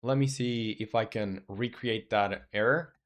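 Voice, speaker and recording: A man's voice: on the low side, unhurried, slight background noise. A man in his twenties chatting casually on a live stream as a game developer, rambling and thinking aloud with many fillers while answering chat questions. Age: 20s.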